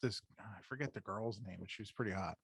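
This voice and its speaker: A man's voice: fairly low pitch, fast, breathy edge, no room sound. A man co-hosting a podcast, telling things casually with crude, irreverent swearing. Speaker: podcast co-host. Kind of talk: casual pop-culture chat.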